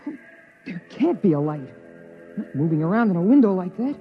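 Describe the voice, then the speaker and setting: A man's voice: high-pitched, big pitch swings, a little fast, soft background music. Boy narrator, anxious and eager, old radio play, dull lo-fi sound. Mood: startled. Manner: earnest, breathless boyish delivery. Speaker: narrator and young son. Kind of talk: 1950s radio drama acting. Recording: muffled 1950s radio broadcast.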